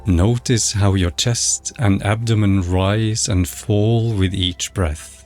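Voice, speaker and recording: A man narrating a guided meditation in a measured, instructional, scripted way. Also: on the low side, steady tone, a little mellow. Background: background music.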